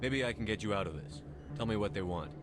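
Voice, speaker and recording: An actor playing a calm, resolute military commander in a video game, speaking firmly and tersely. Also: male voice, lowish voice, fast talker, music in the background, close to an everyday voice. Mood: calm, assured. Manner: firm, terse.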